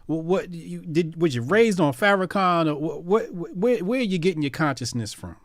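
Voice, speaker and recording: A man's voice: fairly high pitch, expressive, normal speed, no room sound, studio quality. Teasing man hosting a chat room. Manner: playful, teasing, loose slangy talk. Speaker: host of a live audio chat room. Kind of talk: casual live chat hosting.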